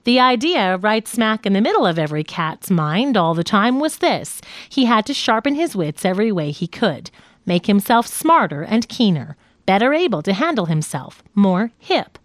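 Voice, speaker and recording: Female audiobook narrator, lively pitch movement, medium pitch, measured articulate reading, dry close sound. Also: no room sound. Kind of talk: scripted nonfiction audiobook narration.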